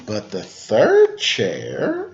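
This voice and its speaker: A slightly dull, lo-fi recording of a man telling a children's story. He takes his time with dramatic pauses and swings his pitch in a very lively way. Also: creaky. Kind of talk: animated children's story narration.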